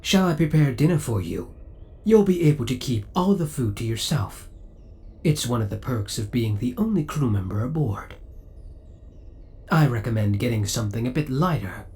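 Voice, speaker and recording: Man voicing a devoted ship AI, lively intonation. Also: mid-range voice, moderate pace, natural voice. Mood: courteous, attentive. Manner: formal, courteous, precise servant-like delivery. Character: devoted, possessive male spaceship AI, politely obsessive. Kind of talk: ASMR audio roleplay.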